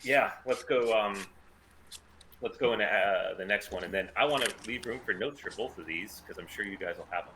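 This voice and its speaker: Slightly low-voiced man, faint background noise. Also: fairly quick, pushed and bright, poor audio. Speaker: table read organizer and reader. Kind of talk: casual group chat.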